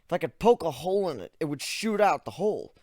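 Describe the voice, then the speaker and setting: A man narrating a first-person fantasy story, measured, dropping low and quiet at tense moments. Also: sing-song, dry recording, clean studio sound.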